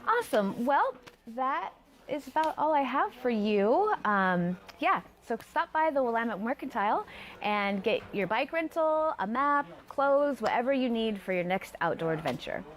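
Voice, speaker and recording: Casting: female community segment host, upbeat presenting, fairly high voice with very lively intonation. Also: moderate pace. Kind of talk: upbeat interview and sign-off.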